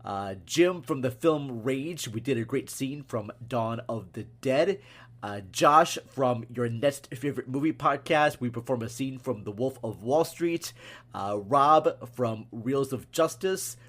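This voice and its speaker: A male podcast host speaks casually at an average pitch. He makes announcements in an informal, effusive manner. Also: expressive, measured pace, a bit bright.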